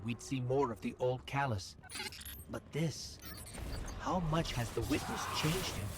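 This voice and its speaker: An eager little robot sidekick from a video game, played by a man. He sounds earnest and conversational at a middling male pitch, with clear noise behind him. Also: close to an everyday voice. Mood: puzzled, concerned. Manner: expressive, conversational, inquisitive. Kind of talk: video game companion dialogue.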